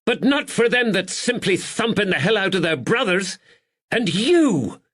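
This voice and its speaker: A woman's voice: medium pitch, big pitch swings, a little slow, pitched above the performer's own voice. A shrieking, furious landlady. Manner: yelling, scolding. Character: furious shrill middle-aged landlady.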